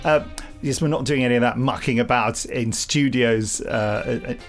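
A man's voice: mid-range voice, normal speed, faint music behind. A middle-aged man with an English accent co-hosting a podcast, rambling playfully between jokey chat and mock-grand scripted announcements. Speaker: podcast co-host.

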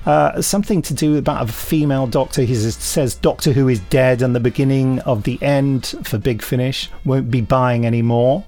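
A man's voice: medium pitch, fairly even intonation, normal speed, hardly any pauses, darkish tone, faint music behind. British man, middle-aged podcast host, wry. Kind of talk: casual podcast chat.

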